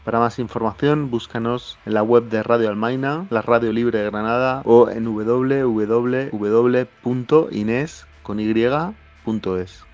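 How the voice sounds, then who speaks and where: A man's voice: medium pitch, fairly even intonation, unhurried, dull audio. A man from giving a scripted narration of credits. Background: soft guitar music.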